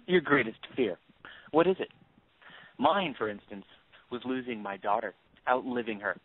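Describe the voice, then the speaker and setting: A man acting in an audio play as a bitter grieving father turned cold avenger, accusing and sarcastic in a deliberate, commanding way. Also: mid-range voice, expressive, no echo, lightly acted. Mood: menacing, taunting. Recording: tinny phone-like band.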